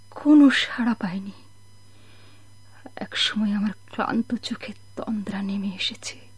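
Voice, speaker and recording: An actress playing a sorrowful, torn mother in a radio drama, speaking haltingly in a breathy, melodramatic way. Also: a little high, darkish tone, no room sound. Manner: halting, anguished, breathy pleading with repeated words. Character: sorrowful, torn mother waiting for a lost husband.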